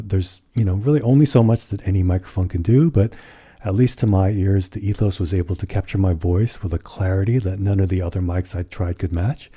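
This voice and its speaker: Podcast co-host, male, very low, breathy, mellow and dark, measured delivery with fillers. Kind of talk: casual chat. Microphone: studio condenser microphone.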